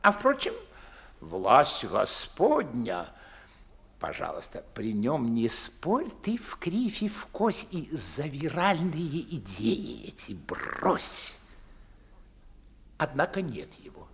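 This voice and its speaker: An actor playing a pompous, fawning older Moscow gentleman and father in a theatrical 1950s radio comedy, declamatory and fussy, drawing out his emphatic words. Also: medium pitch, wildly swooping pitch, slow, full character voice. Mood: confiding, cautioning. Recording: 1950s Soviet radio play recording.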